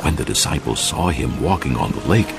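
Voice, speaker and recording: A man narrating a dramatised Bible audiobook in a measured, solemn storytelling way. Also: a bass voice, expressive, gravelly, over loud music.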